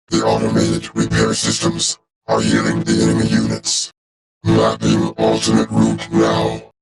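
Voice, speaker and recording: An actor playing a cold, calculating robot officer in a video game, with a gravelly droning timbre, clipped deadpan delivery and a robotic vocoder filter. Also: male voice, medium pitch, low-quality recording. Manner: clipped, deadpan, formal reporting. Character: cold, calculating male robot war machine officer, loyal and menacing. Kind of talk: video game character voice lines.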